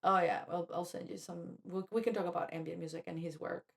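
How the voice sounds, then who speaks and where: A woman from Colombia co-hosting a podcast, telling a personal story in an animated, chatty way with lots of "like". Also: fairly low pitch, fairly quick, vocal fry. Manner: animated, quotes herself, fillers like "like". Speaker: podcast co-host. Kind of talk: casual conversational storytelling.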